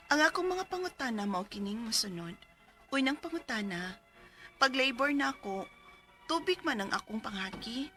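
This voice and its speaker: An actress playing an anxious young mother in her twenties who pleads for medical advice in a radio drama. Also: a little high. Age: 20s. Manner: pleading, earnest. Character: anxious young mother describing postpartum troubles. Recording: music playing behind.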